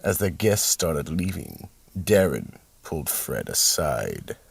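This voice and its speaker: A man playing the sinister keeper of a horror vault, narrating in an ominous, theatrical, deliberate way with a gravelly voice. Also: extremely low pitch, sing-song, no room sound, exaggerated voice. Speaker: host and narrator. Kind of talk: old-time radio horror narration.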